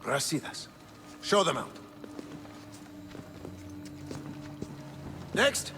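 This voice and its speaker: A man's voice: a little high, sing-song, measured pace, gravelly, bright, forceful tone, exaggerated voice. A man acting a haughty, scornful Spartan king in a video game, commanding and sardonic, with a declamatory delivery. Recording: reverberant stone hall, music underneath.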